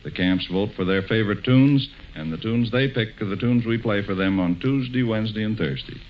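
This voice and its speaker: Low-voiced 1940s American radio host, dull recording. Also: male voice, fairly quick. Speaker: radio show host and announcer. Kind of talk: 1940s radio announcing, scripted.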